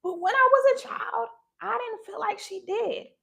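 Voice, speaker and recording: A woman's voice: extremely high pitch, moderate pace, vocal fry, sharp tone. A young woman talking passionately and earnestly to her viewers on a live stream. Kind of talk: personal talk to viewers.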